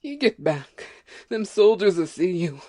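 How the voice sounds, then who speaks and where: A woman's voice: lowish voice, very animated, slow, a little mellow, exaggerated voice. A woman playing a weary, stubborn, ignorant farm wife with a rural American accent, scolding in folksy dialect in an audiobook play. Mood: urgent, unsympathetic. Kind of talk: amateur audiobook play acting.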